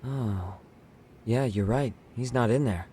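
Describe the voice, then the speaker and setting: An actor playing a young investigator sidekick in an English game dub, informal and full of questions. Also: male voice, mid-range voice, takes it slowly, warm tone, a little hiss or hum, natural voice. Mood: curious. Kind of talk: English video game dub cutscene acting.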